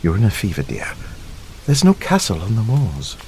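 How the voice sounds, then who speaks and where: An actor playing a gentle, devoted and anxious gentleman husband in a radio drama. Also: fairly low pitch, huge pitch swings, moderate pace, slight background noise. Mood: tender, worried.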